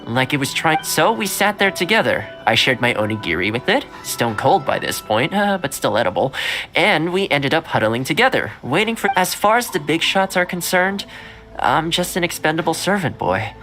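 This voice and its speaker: Game role: kind young manservant, gentle and talkative, very animated intonation, music underneath. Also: male voice, a little high, moderate pace, low-quality recording. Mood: sincere, warm. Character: kind, chatty young male servant fond of stray animals. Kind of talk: video game voice acting, storytelling dialogue.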